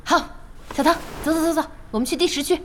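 An actress playing a brisk, nosy young woman who runs an internet café, lively and direct, in a Chinese audio drama. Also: sits high, slight background noise, lightly acted. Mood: brisk, curious. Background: music.

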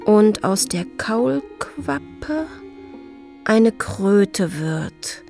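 An actress playing a wounded, resentful, defiant woman in a radio drama, intense and often hushed. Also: medium pitch. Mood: bitter, anguished.

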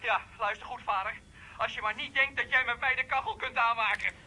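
An actor playing an indignant, defiant caller in a radio drama, halting and repeating words, heard through a telephone. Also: mid-range voice, bright, forceful tone, breathy edge. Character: indignant, stumbling caller picking a quarrel on the phone. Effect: telephone filter. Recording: thin voice over telephone line.

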